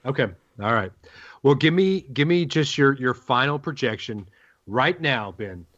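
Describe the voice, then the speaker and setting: A man's voice: medium pitch, animated, unhurried, a bit bright. A man hosting a sports podcast with casual banter, moving the conversation along. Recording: dull lo-fi podcast audio.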